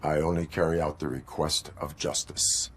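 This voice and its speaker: A man voicing a stoic cyborg police officer in a video game, speaking in stiff, formal, deliberate phrases. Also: rumbling low, flat delivery, fairly bright tone. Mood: stern, flat. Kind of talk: video game cutscene acting.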